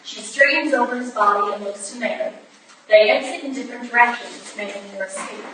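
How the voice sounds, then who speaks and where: A woman's voice: a little high, fairly quick, noisy background, lightly acted. A woman reading stage directions as scripted action narration at a staged play reading, recorded from far off in an echoey hall.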